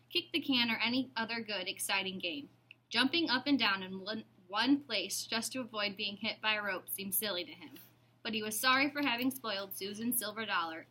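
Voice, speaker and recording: A woman's voice: mid-range voice, sharp tone, slight background noise, rough recording. A woman narrating a children's storybook as its reader.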